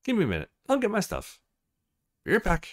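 A man's voice: sits high, huge pitch swings, fairly quick, voice pushed up. A man voicing a confident, teasing young woman, a model in a visual novel, in a breezy, playful manner. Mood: easygoing.

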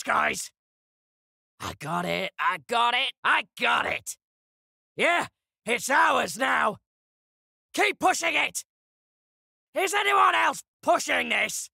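A man voicing a cocky, brash caveman brawler for a video game, taunting in a gravelly, shouty way. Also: very high-pitched, no room sound, studio quality, heavily acted. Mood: urgent shouting, then triumphant. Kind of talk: video game character voice lines.

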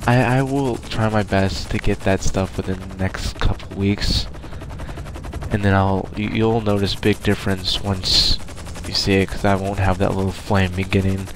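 A young man with a nasal voice, sounding sick and tired, talking quietly in a casual gaming voice-over. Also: on the low side, slight background noise, poor audio. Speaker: gaming YouTuber. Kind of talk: casual gameplay voice-over commentary.

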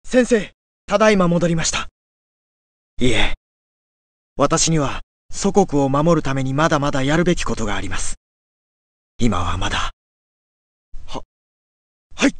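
An actor playing an earnest young swordsman devoted to his homeland in a fighting game, husky-voiced, solemn and dramatic. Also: a little high, sing-song, a little slow, pauses often, fairly bright tone, no room sound. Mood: solemn, determined.

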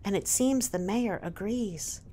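A woman's voice: mid-range voice, measured pace, dark tone, a little hiss or hum, lightly acted. A woman voicing a caring, resolute mother lion who works as a librarian in a storybook, in a firm maternal manner. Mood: sad.

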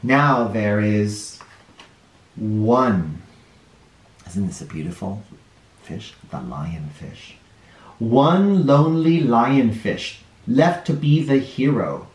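A man in his forties reads a children's counting book aloud from his living room, his pitch swooping high and low in a lively, animated way. He takes his time, reading at an unhurried pace, and the room gives his voice a noticeable echo. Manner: expressive storyteller, drops low and slow on each count. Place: living room with couch and toys, indoors.

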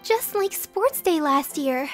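Casting: woman playing a dreamy, admiring high-school girl, extremely high voice, gushing, manga dub over music. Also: lively intonation, takes it slowly. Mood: fond, teasing. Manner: gushing, girlish. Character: admiring, dreamy, girlish teenage schoolgirl friend. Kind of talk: manga fandub acting.

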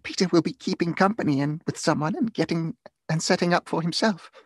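A man doing an eager, high-pitched young girl. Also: brisk, breathy edge, dry recording, clean studio sound. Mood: teasing. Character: teasing, eager young daughters of a poor family. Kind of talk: storybook character voice in audiobook.

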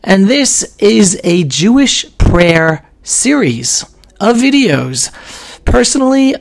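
A hoarse man in his forties teaching in a casual live stream from a small room at home, talking emphatically and often repeating himself. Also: high-pitched, takes it slowly. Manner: animated and emphatic, with frequent repeats and restarts. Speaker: video host teaching about prayer. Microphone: webcam, close framing.

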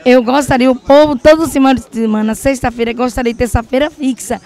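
A woman's voice: high-pitched, fairly even intonation, fast talker, darkish tone. An older Brazilian woman chatting informally as a market vendor in an outdoor street interview. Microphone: handheld reporter microphone.

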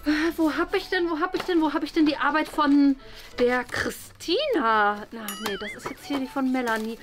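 Actress as chaotic female teacher, high voice, halting and forgetful, repeats herself, comedy sketch over music. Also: close to an everyday voice. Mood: flustered. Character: scatterbrained, flustered, muddled female teacher. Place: indoor living room.

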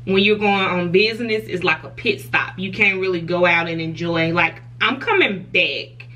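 A woman's voice: lowish voice, moderate pace, bright, forceful tone, distant mic, a little hiss or hum, rough recording. A young woman, talking frankly to camera in a hotel room, laughing often. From Southern US. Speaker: vlogger. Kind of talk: casual chat to camera.

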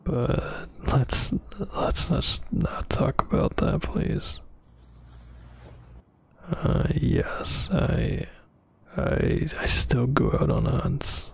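A man playing a brooding, dominant vampire boyfriend in an ASMR roleplay, scolding haltingly in a husky, breathy near-whisper. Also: medium pitch, wildly swooping pitch, darkish tone, dry recording, exaggerated voice. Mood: exasperated.

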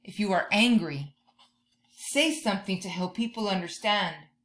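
A woman in her thirties doing an expressive children's read-aloud, drawing out key words like a teacher talking to young listeners. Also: mid-range voice, moderate pace. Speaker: teacher reading a picture book to children. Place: bedroom or room at home, indoors.

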